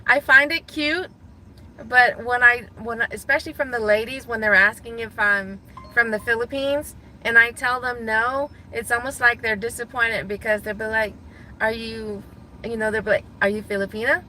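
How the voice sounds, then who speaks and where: A woman from Guam chatting casually to viewers from her car, thinking out loud and laughing easily. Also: a little high, steady tone, normal speed, slight background noise, poor audio. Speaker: gig delivery driver vlogger. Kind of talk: casual talking to viewers while driving. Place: inside a parked car.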